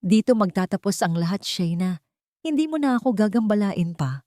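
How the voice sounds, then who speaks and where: A woman's voice: medium pitch, expressive, normal speed, dark tone, no echo, voice pushed up, lightly acted. A cold, menacing Filipino husband from an audiobook melodrama, as a woman narrator puts him on. He speaks with threat and calculation, set in a dry, close recording. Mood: furious. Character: cold, menacing villainous husband plotting murder.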